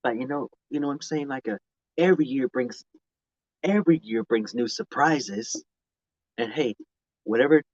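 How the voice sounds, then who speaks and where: A man's voice: medium pitch, dry recording, dull audio. A man in his mid-twenties chatting casually to viewers on a live stream outdoors, rambling and often repeating or restarting his phrases.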